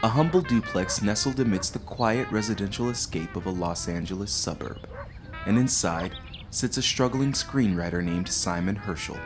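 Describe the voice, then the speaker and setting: A man's voice: lowish voice, lively intonation, normal speed, lightly acted. A man narrating an audio drama as a solemn storyteller of macabre tales. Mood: measured, ominous. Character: solemn male storyteller introducing a macabre hotel mystery. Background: music under the voice.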